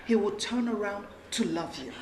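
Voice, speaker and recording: A woman's voice: mid-range voice, normal speed, lightly acted. An actress playing a middle-aged mother who comforts a young woman and scolds her son. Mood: soothing. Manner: soothing yet forceful, insistent. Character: reassuring mother pushing her son to marry. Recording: roomy sound with music behind.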